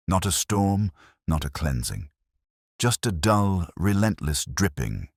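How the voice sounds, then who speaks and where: An extremely deep-voiced, melancholy detective played by a man tells his own case as a literary audio mystery. He is solemn and reflective, setting out short measured phrases with a lively rise and fall. The sound is close and dry. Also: no room sound, full character voice. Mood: somber, reflective. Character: melancholy, brooding male English detective inspector narrating his case. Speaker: first-person narrator.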